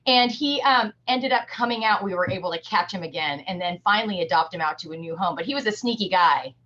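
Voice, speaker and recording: A woman's voice: on the low side, fast, pushed and bright. A woman working as an animal shelter educator, explaining things simply and encouragingly to children over a video call. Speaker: humane society educator. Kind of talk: virtual field trip talk to children. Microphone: computer on a video call. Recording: dull, lo-fi video call audio.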